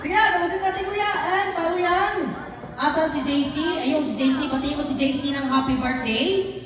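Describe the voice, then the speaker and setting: A woman's voice: sits high, normal speed, crowd noise. A woman with a Filipino accent hosting a live puppet show with playful, exclamatory patter. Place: large echoey room with an audience. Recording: echoey noisy hall, far from mic.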